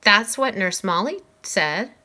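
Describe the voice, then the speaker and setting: A stubborn storybook schoolboy in trouble, played by a woman reader. He protests curtly and defensively, in a bright voice with lively jumps in pitch. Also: slow. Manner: curt, protesting.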